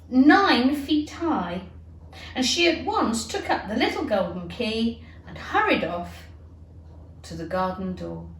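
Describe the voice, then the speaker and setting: A woman reading a children's story aloud in an animated, deliberate, theatrical way. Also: fairly high pitch, slight background noise. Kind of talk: expressive children's storybook narration. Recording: roomy, distant microphone.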